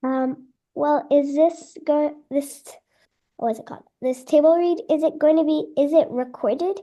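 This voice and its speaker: A woman's voice: sits high, steady tone, moderate pace, warm tone. A female workshop participant asking hesitantly from the group, picked up at a distance.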